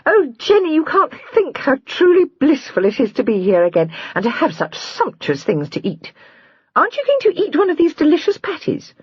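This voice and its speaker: A narrator voicing a bubbly, spoilt young sister who chatters in a gushing, breathless way. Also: female voice, high voice, wildly swooping pitch, moderate pace, darkish tone, dry recording, highs cut off, voice pushed up.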